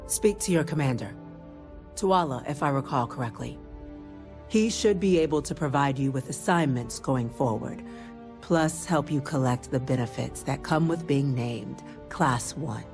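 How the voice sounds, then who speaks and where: Senior gov official lady, formal n measured, authoritative, faint music under. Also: very deep voice. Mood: formal, grateful. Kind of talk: video game dialogue.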